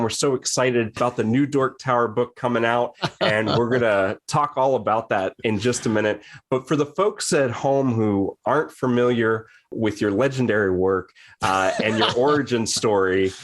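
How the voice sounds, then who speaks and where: Upbeat male podcast host. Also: lowish voice. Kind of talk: scripted introduction and interview questions.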